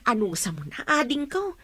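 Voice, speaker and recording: High-voiced gossipy housemaid. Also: female voice, big pitch swings, measured pace, fairly bright tone, slightly rough, no echo, exaggerated voice. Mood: friendly, gossipy. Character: friendly, gossipy female household helper, older sister type. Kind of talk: Ilocano radio comedy-drama acting.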